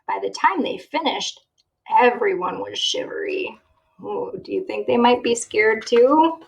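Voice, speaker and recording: A woman's voice: medium pitch, wildly swooping pitch, a little slow, creaky, a little hiss or hum, low-quality recording. A woman reading a children's storybook aloud like a teacher, expressively, checking in with the young listener through questions.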